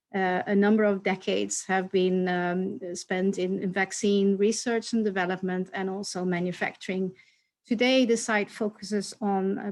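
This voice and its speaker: A woman executive giving a measured, explanatory presentation in a webinar. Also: medium pitch. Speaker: company executive.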